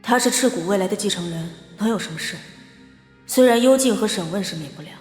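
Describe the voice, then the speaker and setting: A woman's voice: medium pitch, fast talker, a little mellow, close to an everyday voice. Woman doing a cold snooty young swordswoman, talks down to you, echoey with music behind. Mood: aloof. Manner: cold, condescending. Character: haughty, scornful young swordswoman from a noble house. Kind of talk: animated audio drama acting.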